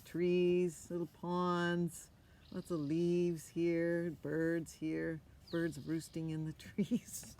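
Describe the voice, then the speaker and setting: A woman's voice: on the low side, monotone, very slow, warm tone. An older woman reading a picture book aloud outdoors, with chatty asides and questions to young listeners. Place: outdoors on a forest floor. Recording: outdoor ambience with noticeable background noise.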